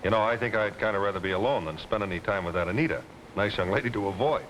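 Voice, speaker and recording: A man's voice: on the low side, fast, fairly bright tone. A man delivering a folksy, chatty 1950s television car commercial as its announcer. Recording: noisy old 1950s television soundtrack.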